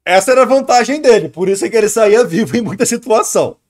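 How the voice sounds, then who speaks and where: A man's voice: high voice, animated, quick pace. A man with a Brazilian accent giving casual, slangy, argumentative reaction commentary as a streamer.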